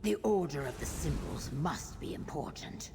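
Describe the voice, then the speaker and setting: An actress voicing a solemn, guarded reptilian guide in a fantasy video game, speaking in measured, terse, raspy phrases. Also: female voice, deep voice, big pitch swings, background noise, full character voice. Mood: grave, watchful. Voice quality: raspy, breathy. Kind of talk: scripted video game acting. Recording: long cavernous reverb on voice.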